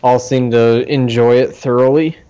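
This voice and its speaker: A man's voice: medium pitch, steady tone, normal speed, mellow, clean tone. Man in dull, lo-fi podcast audio. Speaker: podcast host. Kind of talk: casual podcast chat about arcade games and movies.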